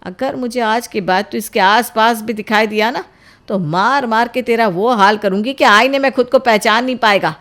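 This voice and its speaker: Woman playing stern mother, middle-aged, forceful scolding delivery, threatening, family audio drama. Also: fairly high pitch, normal speed, voice pushed up, close to an everyday voice. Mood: furious. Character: strict, furious middle-aged Indian mother scolding her children.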